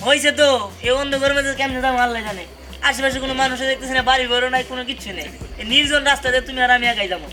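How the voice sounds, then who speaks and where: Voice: high voice, racing, lightly acted. An actor voicing a nagging, anxious traveller who complains fretfully in a cartoon. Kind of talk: cartoon voice acting. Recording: music under the voice.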